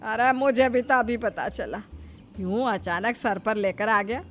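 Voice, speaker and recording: A woman's voice: on the high side, a little slow, a bit bright, soft background music. A woman voicing a possessive, ailing old mother-in-law who complains theatrically in a cartoon family drama. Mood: aggrieved, bewildered.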